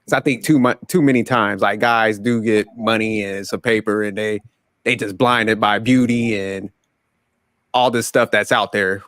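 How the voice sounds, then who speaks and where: A man in his forties hosting a casual panel podcast, talking in a relaxed, rambling way and often repeating words. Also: fairly low pitch, dry recording, studio quality. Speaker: podcast host.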